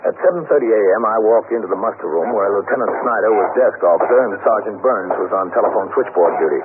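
A man's voice: fairly low pitch, fast talker, phone-quality sound, natural voice. An American man playing a businesslike precinct captain in a 1950s radio police drama, matter-of-fact and clipped. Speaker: narrator and lead actor. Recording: thin, band-limited 1950s radio recording, noisy.

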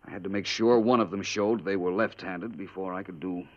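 A man with an American accent playing a shrewd, composed gentleman detective in a 1950s radio drama, speaking in a measured, polite way. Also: low voice, fairly even intonation, lightly acted. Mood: composed, explaining. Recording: dull old radio recording.

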